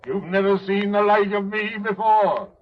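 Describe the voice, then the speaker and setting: An actor playing a jolly giant Christmas ghost, grand and declamatory, in a 1930s radio drama. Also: male voice, sits high, unhurried. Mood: hearty, commanding. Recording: dull, hissy 1930s radio recording.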